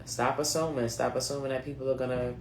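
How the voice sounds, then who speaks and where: A woman's voice: a bass voice, little pitch movement, quick pace, slight background noise. A woman of nearly forty with a mixed US East Coast accent reads cards for her viewers. She talks in a loose, slangy, chatty way, addressing them directly. Her voice bounces around an echoey room. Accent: mixed US East Coast (New England, Philadelphia, North Carolina, Delaware). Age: late 30s. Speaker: card reader. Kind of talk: casual spoken card reading for viewers.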